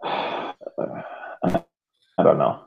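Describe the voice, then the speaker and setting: A man co-hosting a soccer podcast, giving casual analysis in a halting, deliberate way. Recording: tinny phone-like call audio.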